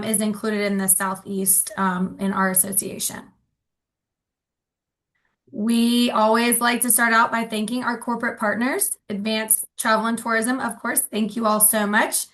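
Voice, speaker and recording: A woman's voice: on the high side, fairly bright tone. Woman. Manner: friendly, with frequent ums. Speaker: association director introducing a webinar. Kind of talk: scripted housekeeping announcements.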